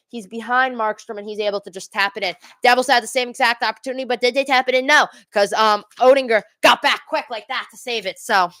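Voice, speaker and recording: A woman's voice: on the high side, fairly bright tone, dry recording. A woman giving live amateur hockey play-by-play for a fan watch party, correcting herself and explaining informally. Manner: exasperated Devils fan, self-correcting, explains plays informally.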